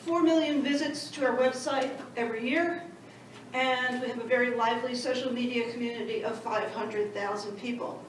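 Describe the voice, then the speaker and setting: Woman panelist with a New York accent, higher voice, recorded from far back in an echoey conference hall. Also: a little hiss or hum. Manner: conversational, self-deprecating asides. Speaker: panelist, education director.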